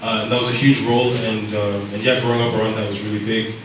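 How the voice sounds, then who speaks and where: Young guy from zambia telling his story, lots of ums, far off the mic in a big echoey room, some room noise. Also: lowish voice. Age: 20s. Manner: relaxed, halting with many fillers and restarts. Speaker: speaker at a storytelling event. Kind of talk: personal storytelling talk. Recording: echoey room picked up from a distance.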